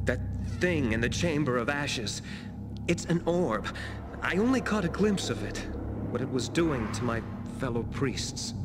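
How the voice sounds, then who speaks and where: A man's voice: mid-range voice, lightly acted. A male actor playing a frightened priest in a video game, faltering and dramatic in his delivery. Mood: hushed dread. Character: frightened male priest hiding among the undead. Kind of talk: video game dialogue acting.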